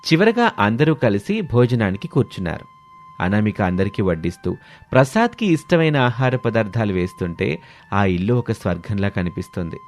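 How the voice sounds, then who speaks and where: Deep-voiced man narrating stories. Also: very animated, moderate pace, a little mellow, soft background music. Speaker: story narrator for a channel. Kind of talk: scripted moral-story narration.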